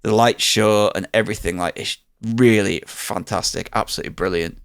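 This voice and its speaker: A man's voice: on the low side, fairly even intonation, fairly quick, creaky, clean tone, dry recording, clean studio sound. A man co-hosting a podcast, chatting casually with sweary enthusiasm and often repeating himself as he finds words. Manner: sweary, enthusiastic, hesitant repetition. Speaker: podcast co-host. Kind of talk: casual review chat.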